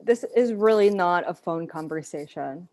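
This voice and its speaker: A woman's voice: fairly low pitch, animated, normal speed, warm tone, no room sound, close to an everyday voice. A woman playing a weary, reluctant girlfriend, curt and exasperated, in a screenplay table read. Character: Lucia, weary, reluctant girlfriend putting off her boyfriend.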